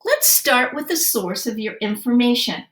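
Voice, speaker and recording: A woman voicing an even-tempered male schoolteacher, instructive and diplomatic, in a storybook. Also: a little high, normal speed, recorded from a distance. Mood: firm. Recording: roomy, echoey sound.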